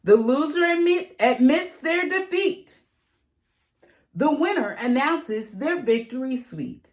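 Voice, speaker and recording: A woman reading a rhyming children's picture book aloud in an expressive, sing-song way. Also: high-pitched, slow pace. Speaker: read-aloud reader.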